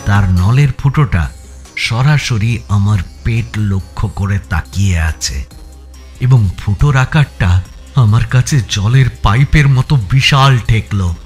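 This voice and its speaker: A man narrating a suspense thriller in a dramatic, expressive audio story style, with music behind him. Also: on the low side, slow pace. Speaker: narrator reading a first-person thriller.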